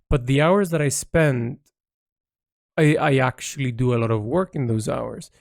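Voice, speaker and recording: Gravelly man thinking out loud. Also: mid-range voice, dry recording, studio quality. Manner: halting, rambling, rephrasing as he thinks aloud. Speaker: artist recording a progress log. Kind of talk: reflective audio log, unscripted monologue.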